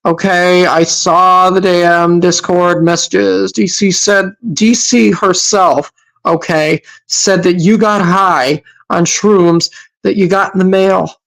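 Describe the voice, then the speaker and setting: A woman's voice: fairly low pitch, fairly even intonation, slow pace, vocal fry, poor audio. Sarcastic woman giving livestream commentary. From Massachusetts. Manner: sarcastic, scolding, hesitant with fillers. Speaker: host reacting to clips.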